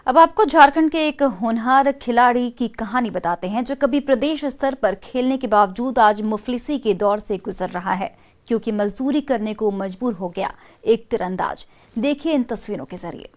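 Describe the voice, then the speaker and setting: A woman anchoring a regional TV news bulletin in a crisp, formal way. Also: a little high, lively intonation, moderate pace. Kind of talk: studio news presenting.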